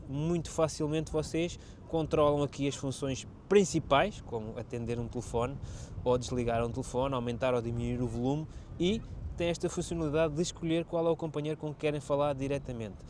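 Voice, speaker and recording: A man's voice: on the high side, a little mellow, slight background noise. A man with a European Portuguese accent giving a casual, chatty product demonstration, explaining things directly to viewers. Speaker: motorcycle vlogger reviewing gear.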